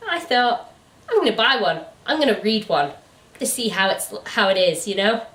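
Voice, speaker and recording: A woman co-hosting a book review channel, chatting casually in an animated, incredulous way. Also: medium pitch, moderate pace, distant mic. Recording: echoey room, away from the mic.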